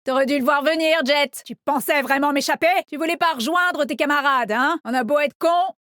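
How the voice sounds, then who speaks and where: Cocky woman agent from a shooter game, highish voice, punchy and confident, teasing, really dry studio sound. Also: normal speed, a bit bright, dry recording. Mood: taunting, smug. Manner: confident, brash, punchy delivery. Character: cocky, teasing female agent, tough but proud squad leader. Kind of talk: video game character voice lines.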